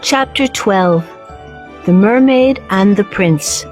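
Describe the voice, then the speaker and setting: A woman reading a children's storybook as narrator, with measured, clearly phrased delivery. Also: medium pitch, animated, darkish tone, faint music behind.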